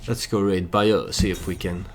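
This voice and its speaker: A man hosting a let's play, giving storytelling game commentary in a halting way, as if thinking aloud. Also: deep voice, steady tone, slight background noise.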